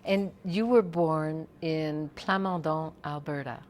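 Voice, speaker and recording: Low-voiced lady interviewer, slow, lots of little ahs and okays. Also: a little mellow. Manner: brief prompts and encouraging interjections. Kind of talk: casual interview chat.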